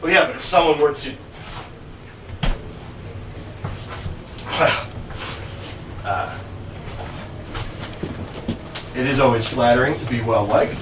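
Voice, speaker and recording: A man's voice: mid-range voice, expressive, normal speed, roomy sound, lightly acted. A man on stage playing a prickly, suspicious stickler in clipped, questioning banter, recorded from a distance in a noisy room. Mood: coy. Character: prickly, suspicious, rule-bound man in a shady partnership. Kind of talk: live stage play, clipped absurdist banter. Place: small live venue in a bar, far microphone.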